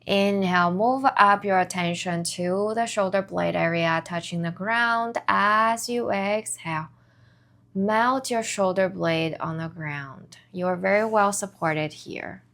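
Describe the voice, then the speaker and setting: Slow-paced woman guiding a meditation. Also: mid-range voice, fairly bright tone. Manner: soothing, measured instructions with pauses between short phrases. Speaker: meditation and somatic movement instructor. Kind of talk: guided body scan meditation.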